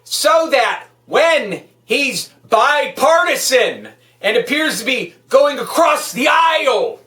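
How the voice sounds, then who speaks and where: A woman's voice: high-pitched, big pitch swings, takes it slowly, fairly bright tone. A woman delivering an angry political rant, emphatic, hectoring and full of swearing. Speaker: political vlogger. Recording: echoey room, distant microphone.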